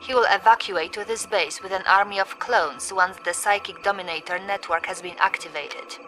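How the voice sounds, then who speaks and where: An actress playing a composed female Soviet military intelligence officer, giving measured, formal mission briefings in a video game. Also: medium pitch, fairly even intonation, a bit bright, close to an everyday voice, low-quality recording. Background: music.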